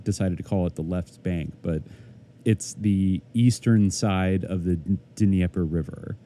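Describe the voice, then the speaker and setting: A man's voice: low-pitched, a little slow, warm tone, a little hiss or hum. A man co-hosting a podcast, chatting casually and rambling with lots of "like" and "I guess". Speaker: podcast co-host. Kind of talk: casual chat.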